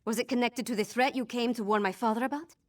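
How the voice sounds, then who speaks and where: A woman's voice: fairly high pitch, fast, lightly acted. A woman voicing a headstrong young princess in a fantasy video game, forthright and emphatic in her delivery. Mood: urgent. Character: headstrong young princess, grieving and righteously indignant. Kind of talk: video game dialogue acting.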